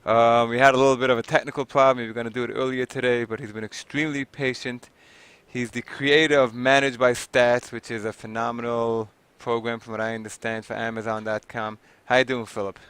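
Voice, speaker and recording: A man hosts a casual online interview in a smooth, clear voice. He is friendly and asks short, practical questions, pressing for specifics. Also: mid-range voice, flat delivery, brisk, a bit bright, no room sound. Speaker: interviewer, host.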